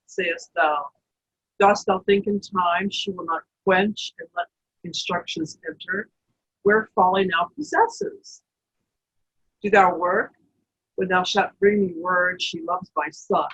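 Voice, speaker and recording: A woman playing a sly, commanding wicked queen in a Shakespeare read-through, with theatrical, halting delivery over a video call. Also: fairly low pitch, animated, a bit bright, slightly rough, exaggerated voice. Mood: scheming, persuasive. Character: scheming wicked queen, middle-aged stepmother, commanding and sly. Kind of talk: Shakespeare play read aloud. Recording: muffled video-call audio.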